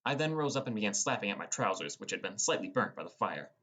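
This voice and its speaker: A man's voice: lowish voice, sing-song, quick pace, fairly bright tone, breathy edge. A young man reading scripted first-person fantasy narration as a dramatic storyteller. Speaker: audiobook narrator.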